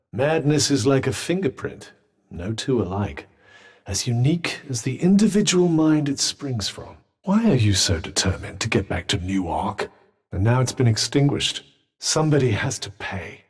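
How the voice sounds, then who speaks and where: An actor voicing a sardonic, cultured talking magic bracelet in a video game, with dry, formal phrasing. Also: male voice, mid-range voice, husky.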